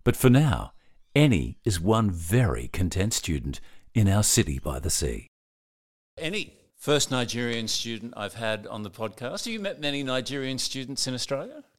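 A man's voice: medium pitch, big pitch swings, normal speed. A man hosting a podcast, interviewing a guest with short, leading follow-up questions. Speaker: podcast host. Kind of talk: conversational interviewing.

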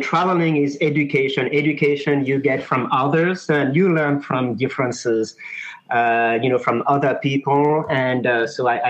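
A middle-aged man from Mauritius with a French accent, answering interview questions as a sales professor, pausing often with "uh". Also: a little high, highs cut off. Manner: thoughtful, many "uh" pauses.

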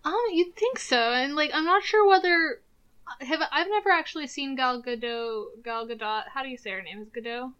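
A woman's voice: high voice, a little fast, fairly bright tone, clean tone, dull audio. A woman co-hosting a casual podcast chat about movies, rambling and filling her sentences with fillers. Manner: rambling, heavy use of filler words. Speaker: podcast co-host.

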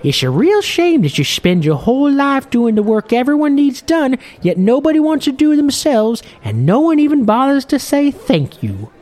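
A man's voice: very high voice, big pitch swings, normal speed, few pauses, a little mellow, a faint crowd, pitched above the performer's own voice. A man voicing a short, jolly old fishing boat captain who talks in a chatty, boisterous, lilting way.

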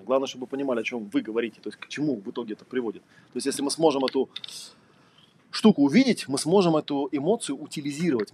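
A man's voice: mid-range voice, a little mellow, a little hiss or hum. A man giving a casual lecture, talking conversationally with lots of fillers and rhetorical questions.

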